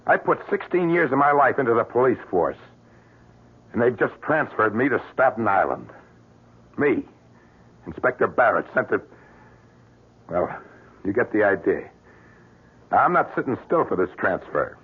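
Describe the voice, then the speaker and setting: An American actor playing a middle-aged, hard-boiled police inspector, gruff, blunt and suspicious, in a radio drama. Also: male voice, mid-range voice, very animated, moderate pace, pauses often. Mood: insistent, bitter. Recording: muffled old radio broadcast.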